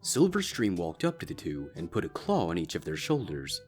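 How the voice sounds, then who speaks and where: A man's voice: sits low, huge pitch swings, normal speed, soft background music. A man reading a scripted fan fiction story aloud as its narrator.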